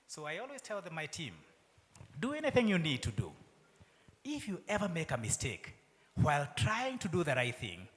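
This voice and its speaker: A middle-aged man with a Kenyan accent giving a conference keynote, asking the audience questions and dropping into soft asides. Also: fairly high pitch. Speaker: university vice chancellor giving a keynote. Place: large conference hall. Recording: long hall reverb.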